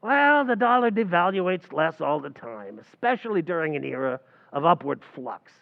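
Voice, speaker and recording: A man's voice: a little high, big pitch swings, normal speed, creaky. A man playing a brash, know-it-all bartender, mangling big words in an old-time radio comedy recreation. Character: fast-talking, know-it-all New York bartender Archie. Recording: dull, lo-fi sound.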